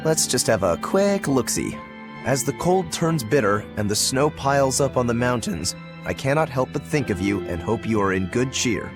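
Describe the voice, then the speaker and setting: A man's voice: medium pitch, natural voice. An actor playing a cheeky, easygoing swordsman in a casual, wry way for a video game.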